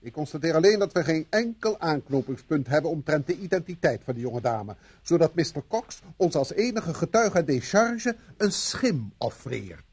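Stern sceptical police inspector, radio drama, highish voice, husky, curt, sardonic. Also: male voice, sing-song, moderate pace, hardly any pauses, a little mellow, exaggerated voice. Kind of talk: radio play acting.

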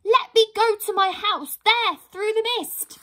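A woman voicing a small, frightened female pixie who blurts out the truth in a high, squeaky voice. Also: fairly even intonation, a little slow, pushed and bright, exaggerated voice. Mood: anxious.

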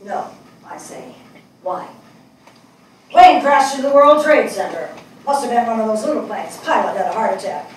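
A woman's voice: fairly high pitch, lively intonation, normal speed, pauses often, close to an everyday voice. Older lady acting a thoughtful wry journalist woman, stage reading, mic far off, bit of noise. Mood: wry, sober. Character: reflective, wry middle-aged New York woman journalist. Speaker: stage actress in a dramatic reading. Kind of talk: theatrical dramatic monologue read on stage. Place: indoor small theatre stage. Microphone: far camera. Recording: echoey theatre, distant mic, faint noise.

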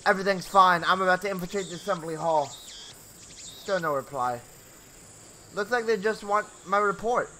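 A man's voice: high-pitched, moderate pace, pauses often, bright, forceful tone, slight background noise. A young man hosting a gaming video, reading story narration theatrically with casual asides and the odd stumble over words. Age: young adult. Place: small home bedroom. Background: game music.

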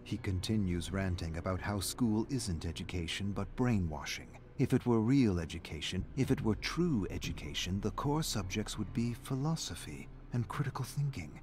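A man's voice: lowish voice, animated, moderate pace, few pauses, a little mellow, natural voice. A man reading a scripted, dramatic story narration as the narrator. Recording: background noise under game narration.

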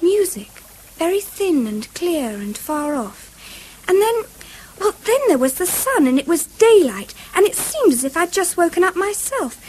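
A woman's voice: very high voice, big pitch swings, measured pace, darkish tone, full character voice, poor audio. An actress playing an earnest, imaginative little English girl, speaking precisely and eagerly in a 1970s radio drama. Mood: hushed wonder. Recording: faintly hissy old radio broadcast.

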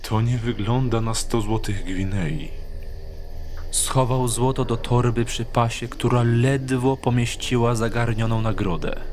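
A man's voice: mid-range voice, darkish tone, slight background noise. A man narrating in a scripted, dramatic storytelling style.

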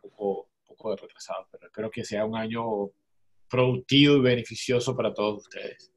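A man's voice: on the low side, fairly even intonation, fairly quick. A man speaks on a video call in a mid-range voice. He works as a journalist and often restarts or repeats words as he explains his project. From Venezuela. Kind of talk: casual self-introduction.

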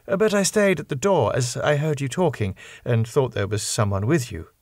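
A man's voice: mid-range voice, expressive, normal speed, darkish tone, dry recording, studio quality, lightly acted. A man voicing a polite, eager, sweet young Victorian woman in an audiobook. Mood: curious, excited. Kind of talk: audiobook character voice.

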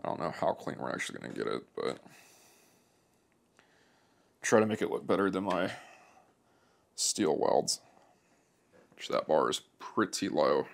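A man's voice: very deep voice, sing-song, unhurried, gravelly, pauses often. A man in a workshop explaining a plastic welding repair in an easygoing, plainspoken way as he works. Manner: easygoing, plainspoken, talks while working. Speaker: motorcycle repair demonstrator. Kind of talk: hands-on how-to narration. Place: indoor workshop.

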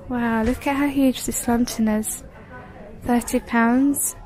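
A woman's voice: fairly high pitch, fairly even intonation, slow pace, a little mellow, low-quality recording. A young woman chatting casually as she browses a decor store, reading out prices, filmed on a handheld phone. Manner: chatty, reads out prices, sometimes drops to a whisper. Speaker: vlogger. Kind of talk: casual shop-with-me commentary. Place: inside a large home decor store. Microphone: handheld phone camera.

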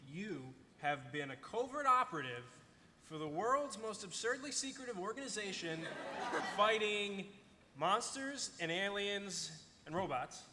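A sincere, bewildered young man, acted live by a male performer. His voice sits a little high and he speaks haltingly. The hall echoes, with noise behind. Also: lively intonation. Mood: hurt, confused. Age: young adult. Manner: hesitant, halting. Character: bewildered, sincere young boyfriend under questioning. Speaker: actor in a live table read. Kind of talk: live dramatic script reading. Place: large convention hall panel stage. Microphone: table microphone.

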